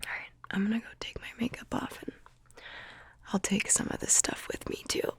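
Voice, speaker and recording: A young woman whispering softly in an ASMR roleplay, gentle and tender, a little tearful. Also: normal speed, no room sound. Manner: soft whispering.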